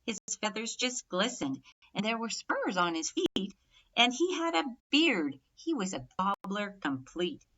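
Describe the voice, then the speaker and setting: A woman reading a rhyming children's story aloud expressively, measured and emphatic on the rhymes. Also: medium pitch, big pitch swings, a bit bright, muffled recording. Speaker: storybook reader.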